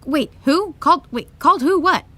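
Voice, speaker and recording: An actress playing a groggy, placating young best friend in an audio drama, casual and hesitant. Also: female voice, sits high, animated, normal speed, fairly bright tone, dry recording. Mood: confused. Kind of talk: naturalistic audio drama acting.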